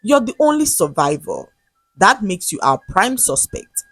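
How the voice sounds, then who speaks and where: A woman's voice: medium pitch, big pitch swings, unhurried, fairly bright tone, breathy edge, no echo, faint music behind, exaggerated voice. A woman storyteller voicing a stern, accusing male police officer with a formal, pressing manner. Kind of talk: dramatic storytelling dialogue.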